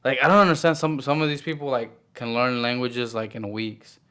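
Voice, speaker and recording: A young man with a smooth, clean voice at an average pitch talks over a casual podcast chat. He wanders through his thoughts, leaning on filler words as he works out ideas with genuine curiosity. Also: steady tone, brisk, bright, forceful tone. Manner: rambling, full of "like" fillers, curious. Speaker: podcast co-host. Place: home podcast setup.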